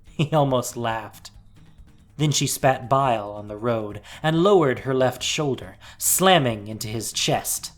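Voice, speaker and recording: Very low-voiced woman narrating dramatic fiction. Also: animated, faint music behind. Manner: deliberate, theatrical, intense.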